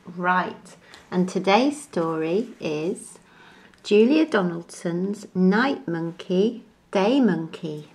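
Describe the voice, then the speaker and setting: A woman reading a children's bedtime story aloud in an expressive, deliberate storyteller's manner. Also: lowish voice. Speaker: storybook reader.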